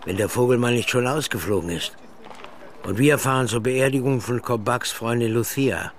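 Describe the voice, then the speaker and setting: A man's voice: mid-range voice, normal speed, a little hiss or hum, lightly acted. A man playing a brusque French police inspector in a crime radio drama, commanding and sarcastic. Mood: businesslike.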